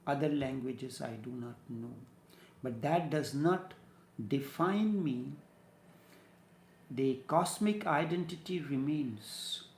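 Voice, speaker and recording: Slow gravelly Indian spiritual teacher. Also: male voice, mid-range voice, echoey room, a little hiss or hum. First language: Hindi. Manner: slow, halting, with restarts.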